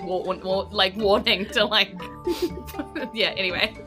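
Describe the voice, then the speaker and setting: A woman's voice: medium pitch, takes it slowly. A woman with a New Zealand accent improvising narration as game master of a tabletop game, in a casual, informal manner. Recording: music playing behind the voice. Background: music.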